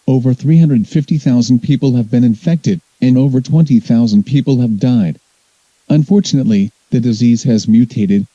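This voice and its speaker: A man playing a grave US President who delivers a solemn, measured, formal emergency address in an audio drama. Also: mid-range voice, dark tone, dry recording, muffled recording, natural voice.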